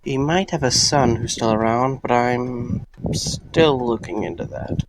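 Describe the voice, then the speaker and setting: A man's voice: medium pitch, unhurried, poor audio. A man playing a weary, wry security guard in an audio drama, speaking in a dry, sometimes hesitant way. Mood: subdued, hesitant.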